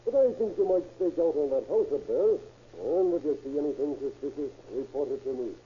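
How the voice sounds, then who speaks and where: An actor playing a genial, amused police officer in an old-time radio drama, folksy and teasing. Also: male voice, a little high, animated, a little fast, natural voice. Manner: folksy, teasing, matter-of-fact. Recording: tinny, narrow vintage radio recording, faint noise.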